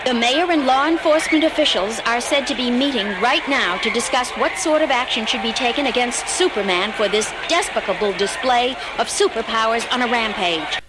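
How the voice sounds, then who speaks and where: An actress playing a brisk, urgent female television reporter in a dramatised news report. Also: on the high side, lightly acted. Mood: urgent, indignant. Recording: loud street and vehicle noise.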